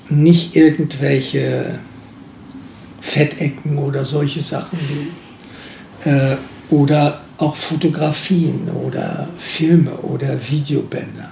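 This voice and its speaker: A man's voice: fairly high pitch, steady tone, slow, creaky, long pauses, mellow, reverberant, slight background noise. An older man, a former museum director, recalling his past work in an interview, hesitant and full of filler sounds. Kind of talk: interview recollection.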